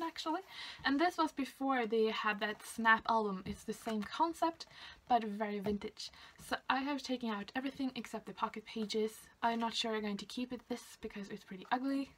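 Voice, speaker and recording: A young woman from Sweden with a Swedish accent, chatting casually and hesitantly through a craft album, with many fillers. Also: on the high side. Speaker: craft vlogger. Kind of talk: casual show-and-tell.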